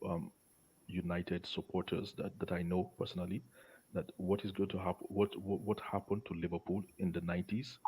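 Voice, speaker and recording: A man on a casual football panel, talking haltingly and often repeating the starts of his words. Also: sits low, a little mellow, breathy edge, rough recording. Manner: halting, stuttered word starts. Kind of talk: casual sports discussion.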